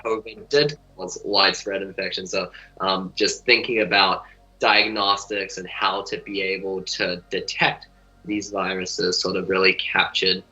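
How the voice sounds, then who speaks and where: A young man, a medical student answering interview questions in a relaxed, rambling way full of fillers. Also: sits low. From New Zealand. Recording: dull lo-fi audio.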